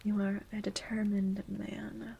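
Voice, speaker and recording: A woman whispering softly, chatting and reading a legend in an ASMR stream, with a feminine voice on the low end of the register. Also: little pitch movement, takes it slowly, creaky, highs cut off, a little hiss or hum. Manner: soft unvoiced whispering.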